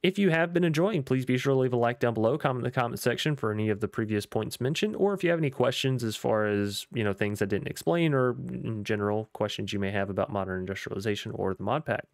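Young gaming YouTuber, male, chatty and upbeat, voice going a bit hoarse, dry close mic sound. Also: medium pitch, expressive, fast talker, few pauses, no room sound. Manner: chatty, upbeat, rambling with fillers. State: losing his voice. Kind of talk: casual gameplay commentary.

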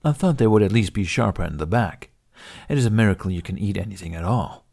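Man voicing a proud, deadpan dragon. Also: fairly low pitch, fast talker, vocal fry. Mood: curious, dismissive. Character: Toothless, proud, smug, blunt male dragon. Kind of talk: audiobook character acting.

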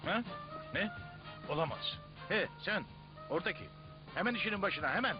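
Irate cartoon overseer, slightly high voice. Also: male voice, lively intonation, moderate pace. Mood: alarmed, scolding. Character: irate, scolding male overseer inside a cartoon cell. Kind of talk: dubbed cartoon acting. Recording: dull soundtrack under loud music.

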